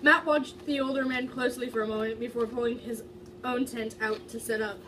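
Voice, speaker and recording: A woman's voice: a little high, fairly even intonation, brisk. A woman giving a dramatic amateur reading of fan fiction in a casual way, now and then stumbling over words. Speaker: amateur reader. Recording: distant mic, faint background noise.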